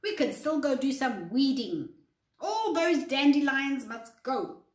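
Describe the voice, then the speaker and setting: A British woman voicing a gentle, practical male gardening animal in a storybook, earnest and unhurried. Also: high voice, lively intonation, reverberant, pitched above the performer's own voice. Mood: gentle, reassuring.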